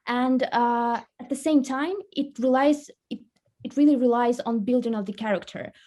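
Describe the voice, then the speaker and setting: A woman's voice: on the high side, moderate pace, warm tone. A woman interviewer asking questions casually, tentatively feeling her way through each one.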